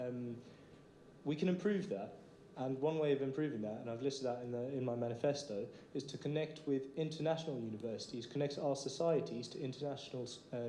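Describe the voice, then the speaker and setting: A man's voice: medium pitch, warm tone. A man from Iraq, a candidate, answering a debate question confidently with some fillers. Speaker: election candidate. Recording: echoey hall with some noise.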